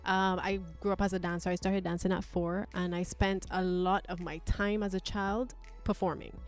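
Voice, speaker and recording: A woman's voice: fairly low pitch, flat delivery, moderate pace, faint music behind. A woman from Barbados, talking candidly and thoughtfully as a guest in a personal interview. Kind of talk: reflective personal conversation.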